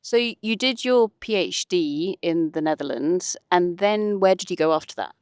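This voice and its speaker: Woman doing casual interview questions, kinda low voice, very dry audio. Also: animated, normal speed, a little mellow, clear, resonant tone, no room sound. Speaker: podcast host, interviewer.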